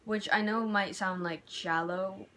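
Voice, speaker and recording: A young woman from the States talks straight to her camera at home in a candid, rambling way, circling back with "like" and restarting her sentences. Her voice sits at a middle pitch for a woman. A faint hiss of background noise sits under the recording. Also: steady tone, unhurried, a bit bright. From United States. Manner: candid and rambling, lots of "like" and restarts, heating up when frustrated. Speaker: vlogger. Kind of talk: personal reflective vlog. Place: home bedroom by a window.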